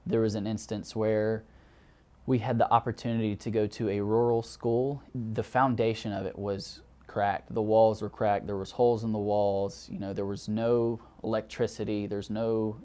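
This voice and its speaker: A man's voice: fairly low pitch, level tone, moderate pace, mellow. A young man from Alabama with a Southern accent, a graduate counseling student telling a story in a documentary interview, earnest and reflective, with lots of "like" and "you know". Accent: Southern American. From Alabama, United States. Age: young adult. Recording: music playing behind the voice.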